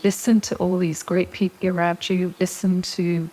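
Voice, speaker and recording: Soft-spoken middle-aged woman giving advice. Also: fairly low pitch, level tone, normal speed. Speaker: guest. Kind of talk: interview conversation.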